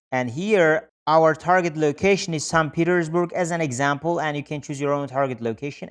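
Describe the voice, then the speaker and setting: Male tutorial presenter, slightly high voice, explanatory and repetitive, speaking straight to the viewer. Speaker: online marketing tutorial presenter. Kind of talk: instructional screen-share tutorial narration.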